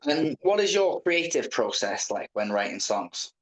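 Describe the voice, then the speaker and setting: A man's voice: medium pitch, very animated, a little slow, poor audio. A man hosting a casual band interview as the interviewer.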